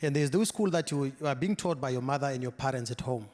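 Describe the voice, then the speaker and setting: A man in the audience speaking up at a panel discussion, halting and full of restarts. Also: mid-range voice, a little mellow. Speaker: audience member. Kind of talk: question from the floor. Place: large hall. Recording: long hall reverb.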